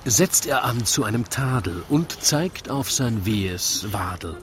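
A man's voice: mid-range voice, lively intonation, slow, slight background noise. A man narrating a comic rhymed story in a wry, theatrical storytelling manner. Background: music.

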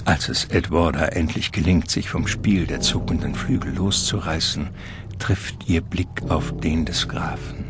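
A man's voice: extremely low pitch, a little slow. A man narrating a radio drama as a scripted literary storyteller. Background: music.

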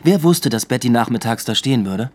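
An actor playing an inquisitive, attentive police detective in a radio drama, with a questioning manner. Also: male voice, mid-range voice, lively intonation, a little fast, close to an everyday voice. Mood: probing. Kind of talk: naturalistic radio drama acting.

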